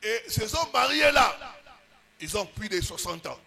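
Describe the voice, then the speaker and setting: A man preaching as a pastor, with emphatic bursts and drawn-out pauses. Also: high-pitched, sing-song, gravelly, bright, forceful tone, breathy edge. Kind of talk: sermon.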